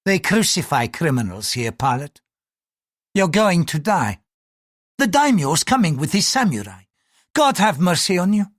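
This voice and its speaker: An audiobook reader voicing an irritable, fanatical Portuguese priest who speaks in an impatient, accusing, forceful way. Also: medium pitch, very animated, measured pace, dry recording, clean studio sound, voice pushed up. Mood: irritated, stern.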